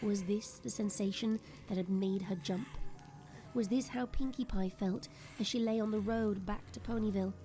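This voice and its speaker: A woman's voice: medium pitch, steady tone, normal speed, dark tone. A woman giving dramatic scripted narration as the narrator of an audio drama. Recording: music behind the voice. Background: clear background music.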